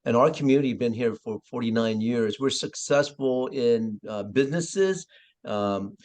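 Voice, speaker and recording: A councilmember with a Vietnamese accent, speaking haltingly on a webinar panel with many pauses and throat clearing. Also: male voice, mid-range voice, a little mellow. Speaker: city councilmember.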